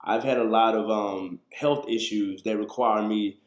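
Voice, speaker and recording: A man in his thirties with a fairly low voice talks in a loose, conversational way, answering questions from his viewers. The sound comes from a webcam in a small room, with noticeable echo off the walls. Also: steady tone, unhurried. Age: 30s. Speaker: livestream host. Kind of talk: casual live talk to viewers.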